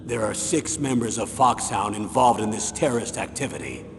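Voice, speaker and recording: A man acting as an aging military colonel in a video game, grave and persuasive, with a gravelly voice and measured, authoritative delivery. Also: expressive, lightly acted. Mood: grave briefing. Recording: echoing hall, vehicle rumble noise.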